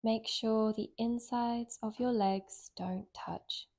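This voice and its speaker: A woman leading a guided relaxation in a gentle, soothing, unhurried way with long pauses. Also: on the high side, fairly even intonation. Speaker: meditation guide, yoga nidra teacher.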